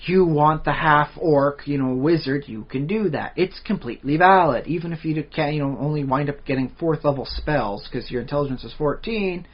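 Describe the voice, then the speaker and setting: A man co-hosting a podcast in a casual, animated chat. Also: a little high, a little fast, few pauses, breathy edge. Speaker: podcast co-host. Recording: dull lo-fi podcast audio.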